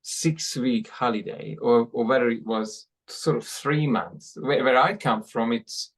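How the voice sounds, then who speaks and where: A man's voice: medium pitch, breathy edge. A man hosting an interview, asking long questions and often repeating words as he searches for them. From Hungary. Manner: halting, repeats words while forming questions. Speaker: podcast host.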